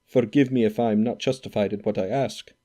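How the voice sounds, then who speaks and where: A male reader gives voice to an old miser, earnest and pleading. His tone is dark and mellow, straight from a storybook. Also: fairly low pitch, steady tone, a little fast, natural voice.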